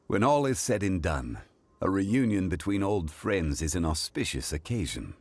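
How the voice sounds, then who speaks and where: An actor playing an ancient god living in human form in a game, speaking in a calm, formal, composed way. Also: male voice, low-pitched, normal speed, no room sound, exaggerated voice.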